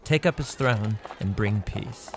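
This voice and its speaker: A man's voice: lowish voice, a little slow, low-quality recording. A man co-hosting an educational video, giving scripted but conversational explanations with emphatic phrasing. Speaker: explainer, co-host of an educational Bible video series. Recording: music bed behind the voice. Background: clear background music.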